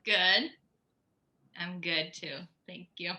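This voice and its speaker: Woman, podcast interviewer, strikingly bright crisp-edged tone, friendly, attentive, conversational. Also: lowish voice, slow pace.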